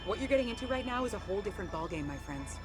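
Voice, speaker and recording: A guarded male agent at a tense video game handover. He has a high voice and a clipped, questioning delivery, with loud music all around him. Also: animated, rapid-fire. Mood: wary, tense. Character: wary, guarded male agent handing over codes. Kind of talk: video game cutscene acting.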